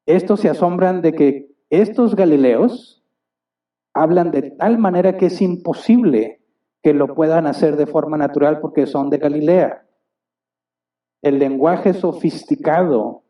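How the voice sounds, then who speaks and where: Pastor preaching, distant and muffled. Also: male voice, on the high side. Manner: asks the congregation rhetorical questions; acts out little dialogues. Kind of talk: sermon. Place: church hall. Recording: distant, dull church recording.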